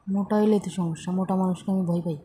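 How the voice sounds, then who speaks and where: A woman plays a hesitant female employee with a Bangladeshi accent in a conversational phone drama. Her voice is warm and unforced at an average pitch, over faint noise. She stays deferential while bluntly holding back. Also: fairly even intonation, fast talker, lightly acted. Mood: blunt, reluctant.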